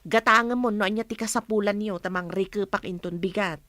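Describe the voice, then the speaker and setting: A woman's voice: medium pitch, steady tone, fairly quick, fairly bright tone, no room sound, close to an everyday voice. A woman acting a blunt, gossipy storekeeper in an audio drama, with a direct manner and a Filipino Ilocano accent. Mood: businesslike.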